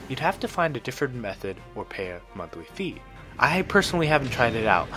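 A young man reading a scripted guide as a review voice-over. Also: medium pitch. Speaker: video guide narrator. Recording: music behind the voice. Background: background music.

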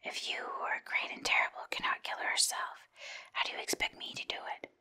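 A woman's voice: fairly quick, close to an everyday voice. A woman voicing an earnest, meek little storybook girl in a plaintive whisper. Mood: sorrowful. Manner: plaintive, simple.

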